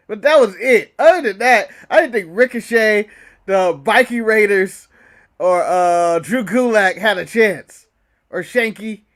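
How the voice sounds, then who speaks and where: An American man with an extremely high voice talks wrestling as a podcast co-host. His delivery is casual and animated, somewhere between complaining and joking. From United States. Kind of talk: casual sports chat.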